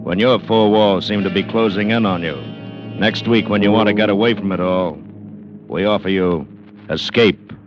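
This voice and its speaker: A man's voice: fairly low pitch, moderate pace, over music. A man announcing a radio show in scripted 1940s promotional style. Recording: dull lo-fi vintage radio recording.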